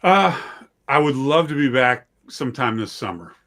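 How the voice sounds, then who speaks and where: A middle-aged man with a medium-pitched voice talks as a guest on a casual show. His phrasing is folksy and modest about himself. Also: expressive, moderate pace, fairly bright tone. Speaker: interview guest, audio drama writer. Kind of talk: casual chat.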